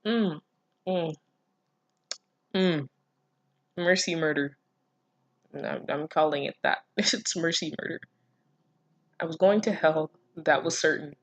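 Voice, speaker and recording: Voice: mid-range voice, slow, pauses often, dry recording. A person reading fan fiction aloud casually, breaking off with mocking, incredulous, sweary commentary. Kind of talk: casual reaction reading with sarcastic asides.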